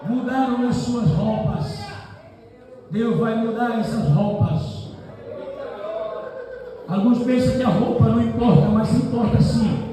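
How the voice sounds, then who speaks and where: A man preaching a fervent sermon as pastor, often shouting, whose first language is not Portuguese. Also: very high-pitched, slow pace, pauses often, crowd noise. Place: large church hall. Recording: roomy hall from far off, congregation.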